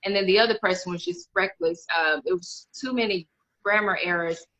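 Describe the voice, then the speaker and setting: Bright-voiced woman on a lo-fi call. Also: lowish voice, a little fast. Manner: candid, rambling, many restarts. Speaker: guest author. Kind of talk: casual interview chat. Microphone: video call.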